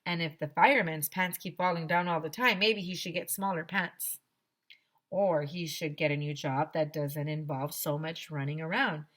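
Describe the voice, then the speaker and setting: A woman with a fairly low voice reads a children's chapter book aloud. She is middle-aged and stresses words theatrically, telling it as a schoolkid would. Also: moderate pace. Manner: expressive, theatrical emphasis. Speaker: teacher reading aloud to children. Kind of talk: animated children's story read-aloud in a schoolboy's first person. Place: small decorated room indoors. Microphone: headset microphone.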